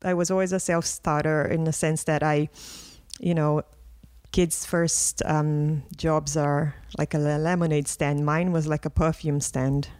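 A woman's voice: deep voice, flat delivery, a little slow, a little mellow, dry recording. A middle-aged woman from Brazil with a Brazilian accent mixed with South London, chatting as an interview guest, laughing often and restarting her sentences. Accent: Brazilian with a South London mix. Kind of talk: casual interview chat.

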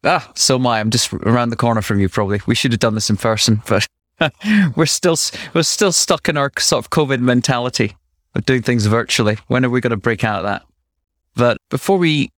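A man hosting a podcast, who reads his intro smoothly and chats with small restarts. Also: lowish voice, a bit bright, no room sound, clean studio sound. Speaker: podcast host. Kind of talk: interview podcast with scripted intro. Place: home in Brooklyn, remote call.

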